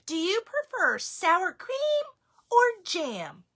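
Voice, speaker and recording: A kindly old grandmother in a picture book, fond and gently chiding, pitched high with a bright, lively lilt, as a woman reading aloud puts her on. She talks slowly, her voice swooping up and down. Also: exaggerated voice. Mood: fond teasing. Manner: chiding yet affectionate. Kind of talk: storybook read-aloud.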